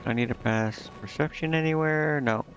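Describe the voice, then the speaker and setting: A man's voice: mid-range voice, normal speed. A man streaming a video game, reacting in slangy, muttered asides as he plays. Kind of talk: casual game stream commentary, reading dialogue aloud. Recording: muffled, band-limited stream audio with background music. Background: game music.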